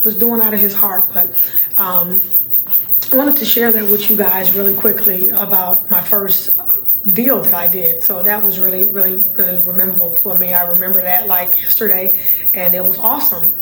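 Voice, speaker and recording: Middle-aged woman, raspy voice, chatty, repeats words for emphasis, casual vlog host, roomy echo, faint background hum. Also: medium pitch, fairly even intonation, normal speed. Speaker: channel host, surplus funds recovery business owner. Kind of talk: casual talking-to-camera vlog.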